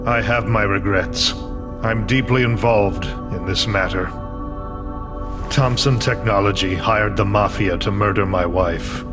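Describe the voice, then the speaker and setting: A man's voice: on the low side, measured pace, close to an everyday voice. A man playing a vengeful, coldly fanatical imprisoned scientist in a video game, speechifying in a grandiose, declamatory way. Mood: grave, regretful. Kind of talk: dramatic video game cutscene acting. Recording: dramatic music bed under voice. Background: loud music.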